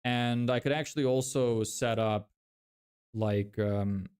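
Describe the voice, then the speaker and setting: A man's voice: medium pitch, fairly even intonation, takes it slowly, a little mellow, smooth. Young man, Lithuanian first language, casual streamer answering chat, mid-range voice, loose and rambling. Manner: rambling, many fillers and restarts. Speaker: livestreamer reading chat.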